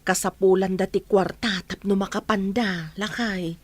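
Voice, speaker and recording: A woman's voice: medium pitch, steady tone, measured pace, no echo, close to an everyday voice. A woman acting a practical village mother in a radio drama, with an Ilocano Filipino accent. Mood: urging. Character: practical village mother urging her grown children on.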